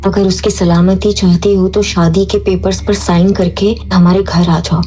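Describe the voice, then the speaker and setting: A woman playing a grave, measured narrator in an audio drama. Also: medium pitch, level tone, mellow, soft background music, natural voice.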